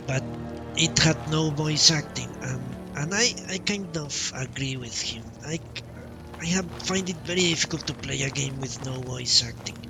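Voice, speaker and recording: Guy streaming a game, kinda high voice, slow halting rambly storytelling, game music going behind him. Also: vocal fry. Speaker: game livestreamer. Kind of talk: casual rambling storytelling while playing a game. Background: video game music.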